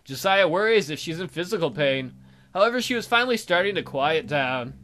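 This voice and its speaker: A man's voice: on the high side, expressive, brisk, a bit bright. A man hosting a let's play, reading a visual novel aloud as dramatic narration with joking asides.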